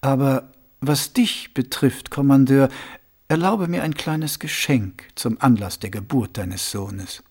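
Guy reading as a silky ex-assassin ruler, calm and amused, gravelly edge, raised a bit. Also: mid-range voice, slow pace. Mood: silky, measured. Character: suave, cool-headed male city ruler, former assassin.

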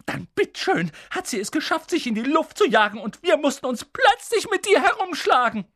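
A reader voicing a bitter middle-aged aunt who rants in a shrill, spiteful rush, in an audiobook. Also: female voice, very animated, a bit bright. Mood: shrill ranting. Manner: shrill, spiteful, rushing tirade. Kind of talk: audiobook reading.

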